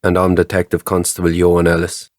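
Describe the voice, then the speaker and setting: Audio drama policeman, male actor: hard, unsavoury detective constable, curt questioning, deep bass register. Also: monotone, fast, darkish tone, lightly acted. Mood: stern, probing. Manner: stern, blunt.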